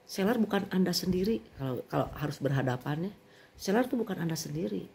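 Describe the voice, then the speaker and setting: Middle-aged woman vlogger, Sundanese accent, animated and indignant as she vents. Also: fairly low pitch, fast talker, gravelly, a little hiss or hum. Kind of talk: venting monologue.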